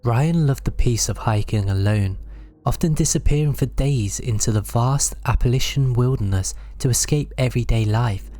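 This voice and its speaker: Mellow-voiced man narrating horror stories. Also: medium pitch. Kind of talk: scripted horror story narration.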